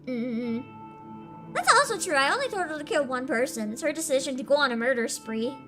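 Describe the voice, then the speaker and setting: A woman's voice: high voice, expressive, brisk, over music. A young woman streamer commenting on a game with giggly, sarcastic chatter.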